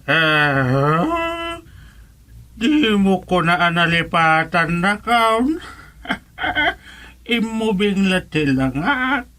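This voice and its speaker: Very slow-talking Ilocano old villager played by a woman. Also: fairly low pitch, sing-song, bright, forceful tone, exaggerated voice. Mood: chatty. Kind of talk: Ilocano radio drama comedy acting.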